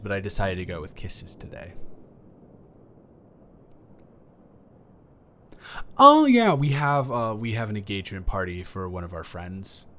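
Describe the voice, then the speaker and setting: Rambling, low-voiced young man next door, acted by a man. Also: a bit bright. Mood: rambling, sheepish. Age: young adult. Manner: rambling, halting, self-correcting, awkwardly casual. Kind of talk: improvised romantic roleplay audio, casual chat.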